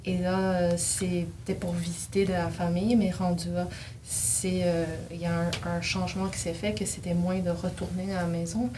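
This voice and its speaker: A woman's voice: on the low side, little pitch movement, normal speed. A woman from China giving a personal account in a group discussion, hesitant and often searching for words. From China, immigrated to Canada at seven. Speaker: dialogue participant. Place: group gathering, filmed discussion. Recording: distant mic, clear background noise.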